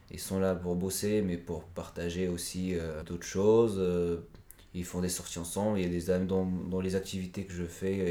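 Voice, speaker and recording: Deep-voiced man, earnest podcast guest. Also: dark tone, roomy sound. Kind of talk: conversational interview.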